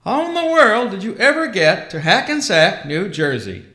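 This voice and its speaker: Man doing a jolly booming Santa Claus. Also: big pitch swings, bright, forceful tone, roomy sound, exaggerated voice. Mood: jovial. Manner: hearty, drawn-out, chuckling.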